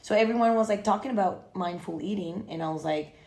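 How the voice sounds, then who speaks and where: A woman from Costa Rica, a podcast guest, chatting casually and pausing often to find her words. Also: mid-range voice, dark tone. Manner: hesitant, many fillers and restarts, searching for words. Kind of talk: casual chat. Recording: roomy echo.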